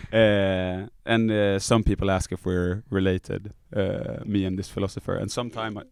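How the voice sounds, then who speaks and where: Low-voiced Swedish man, joking guest. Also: little pitch movement, a little slow, creaky, clear, resonant tone, dry recording. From Sweden. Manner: joking, laughs often. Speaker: musician, podcast guest. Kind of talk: casual studio interview. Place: podcast studio.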